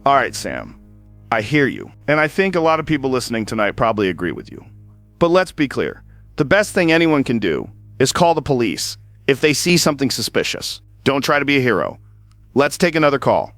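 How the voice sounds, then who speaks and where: A late-night radio host, who handles his callers steadily. His pitch moves around a lot while he speaks. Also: no room sound, soft background music. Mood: calm. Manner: steady, measured, hosting tone. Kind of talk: audio drama acting.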